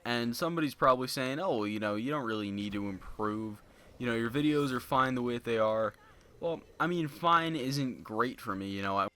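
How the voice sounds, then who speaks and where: A young man talking casually to his viewers in a flat, monotone voice, rambling with lots of fillers into a basic home microphone. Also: medium pitch, smooth. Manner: rambling, filler-heavy, many "you know"s. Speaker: gaming YouTuber. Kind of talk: casual unscripted commentary to viewers. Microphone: basic low-end home mic.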